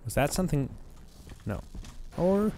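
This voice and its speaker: A hoarse man giving casual let's-play commentary, thinking aloud as he plays. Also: on the high side, big pitch swings, warm tone, a little hiss or hum. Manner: slow, rambling, thinking aloud.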